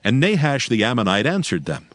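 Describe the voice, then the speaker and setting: A man narrating dramatized scripture as a solemn, measured storyteller. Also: medium pitch, big pitch swings, a bit bright, no echo.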